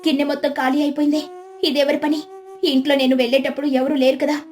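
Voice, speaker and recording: A woman's voice: sits high, flat delivery, fast talker, darkish tone, pitched above the performer's own voice, natural voice. A woman storyteller voicing a clever, theatrical village housewife with an exclamatory, dramatic manner. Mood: distressed, panicked.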